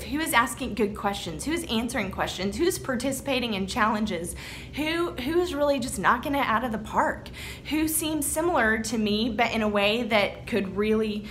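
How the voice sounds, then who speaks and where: A young woman with a Texan accent gives an upbeat motivational talk to her business team, her voice sitting a little high. She keeps things personal and encouraging, often checking in with a quick "okay?". A faint noise runs under the recording. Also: a bit bright. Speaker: network marketing team leader.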